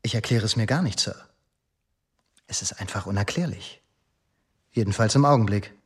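An actor playing a male police inspector in a radio drama, deferential yet self-assured, speaking formally and in a measured, self-justifying way. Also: fairly low pitch, husky. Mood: defensive. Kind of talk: German radio drama dialogue.

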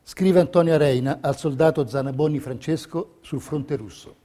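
An actor playing a solemn narrator who reads wartime history and letters in a theatrical documentary style. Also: male voice, fairly high pitch, moderate pace, dark tone, lightly acted. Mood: grave.

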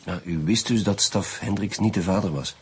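Flemish police chief, lowish voice, direct, probing, radio drama. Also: male voice, breathy edge. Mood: matter-of-fact. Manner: brisk, direct, questioning. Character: commanding, probing male chief police detective.

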